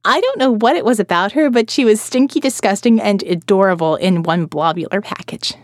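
A woman's voice: medium pitch, a little fast, dry recording, studio quality. A woman narrating first-person audio fiction in a wry, sardonic manner.

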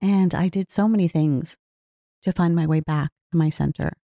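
A woman speaking in an earnest, heartfelt way, reflecting slowly on her own life. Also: low voice, warm tone, no room sound, studio quality. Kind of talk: reflective personal talk.

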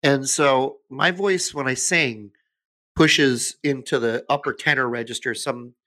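A man hosting a relaxed podcast conversation. His mid-pitched voice sounds bright and tense. He thinks out loud, searching for the right words as he goes. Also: unhurried. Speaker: podcast host. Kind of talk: casual podcast chat.

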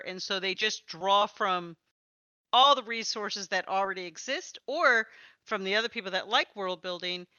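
A woman's voice: medium pitch, bright, forceful tone, clean tone, no room sound, lo-fi sound. A woman hosting a casual, chatty podcast about tabletop gaming, with a playful way of talking. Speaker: podcast host and interviewer.

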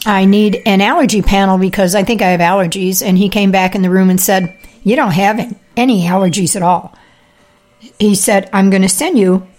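Chatty woman host clearing her throat. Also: mid-range voice, darkish tone. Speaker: podcast host; health practitioner with patients. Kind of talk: casual monologue.

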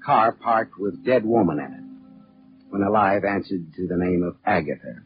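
An American actor playing a wry, tough private detective in a 1940s radio drama, terse and deadpan. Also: male voice, low voice, moderate pace, natural voice. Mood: brisk, urgent. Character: wry, tough male private detective narrating his case. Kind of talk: 1940s radio drama acting, hardboiled narration. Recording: dull old 1940s radio, music behind.

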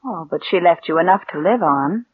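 An actress playing a cool, possessive, murderous niece in a radio suspense drama, acting and narrating with composed, deliberate control. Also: female voice, fairly low pitch, expressive, breathy edge, no echo. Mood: guarded, soothing. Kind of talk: 1940s-50s American radio suspense acting and first-person narration. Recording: tinny old radio recording with noise.

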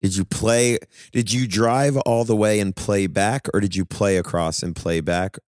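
A man co-hosting a podcast in casual chat, animated, laughing at himself and swearing freely. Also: lowish voice, a little slow, no room sound, clean studio sound. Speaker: podcast co-host and touring musician.

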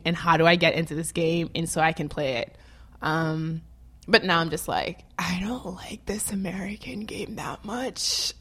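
A woman's voice: lowish voice, fairly bright tone. A woman from Haiti speaking casually in an interview, rambling with lots of fillers and frequent laughter.

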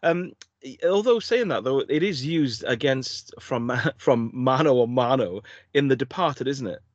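A man co-hosting a podcast, cracking jokes and rambling in casual chat. His pitch swings widely and his delivery stays playful. The recording is dry and a little dull. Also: animated, unhurried, dry recording. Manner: jokey, rambling, often laughing. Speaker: podcast co-host.

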